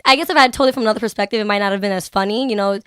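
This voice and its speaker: A woman's voice: medium pitch, racing, fairly bright tone, clear, resonant tone, no room sound, clean studio sound. A young woman chatting casually as an interview guest, giggly and self-deprecating. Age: young adult. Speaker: guest, student writer discussing own story.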